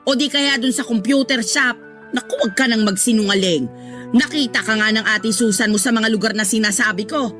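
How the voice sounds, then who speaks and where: A strict Filipino mother played by a woman in a radio drama, nagging and sarcastic. Her voice sits on the high side, with faint music behind it. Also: normal speed, a bit bright, lightly acted. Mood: scolding, sarcastic. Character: strict, scolding mother of a student son. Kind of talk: Tagalog radio drama acting.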